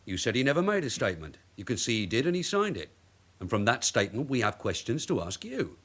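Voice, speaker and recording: An actor playing a shrewd veteran detective sergeant in a British radio drama, a husky voice and terse, probing delivery. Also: male voice, medium pitch, very animated, fast talker, fairly bright tone. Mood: firm, controlled. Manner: terse, probing questions and clipped orders.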